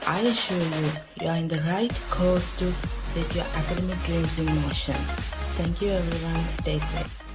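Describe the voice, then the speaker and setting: A woman's voice: lowish voice, steady tone, normal speed. A woman, a former student, giving an encouraging and motivational welcome to new students. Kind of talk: scripted video message. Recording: speech over loud electronic music.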